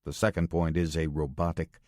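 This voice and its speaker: A low-pitched American man narrates a thriller novel in short, clipped phrases. His delivery is deliberate and terse, as scripted first-person storytelling. The recording is very dry and close, with no room sound. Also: flat delivery, dark tone, no room sound. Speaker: audiobook narrator. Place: studio.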